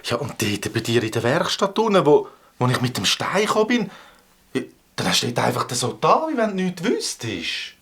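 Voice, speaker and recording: An actor voicing an excitable, bossy boy adventurer with a Swiss German accent in a children's audio drama, talking in exclamatory, halting bursts. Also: mid-range voice, vocal fry, husky, full character voice. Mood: doubtful.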